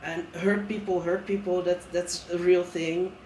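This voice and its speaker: A man's voice: sits high, level tone, measured pace, darkish tone, slight background noise. A man speaking earnestly and thoughtfully in a casual conversation, often restarting his sentences. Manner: earnest, reflective, with many restarts. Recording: roomy sound from a distant mic.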